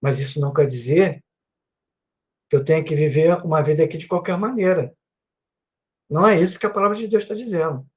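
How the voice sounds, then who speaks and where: A Brazilian man with a high voice teaches a Sunday school class into a desk microphone. He talks earnestly and circles back on his points, adding a questioning tag to his sentences. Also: fairly quick, long pauses, darkish tone, dull audio. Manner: earnest, repeats himself with many "né" tags. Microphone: microphone with headphones at a computer.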